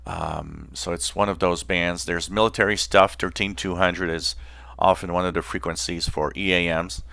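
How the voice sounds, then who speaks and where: A man hosting a hobby channel, explaining informally in a halting way with pauses mid-phrase. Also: deep voice, fairly even intonation, gravelly, pushed and bright, no echo. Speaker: host of a shortwave radio channel.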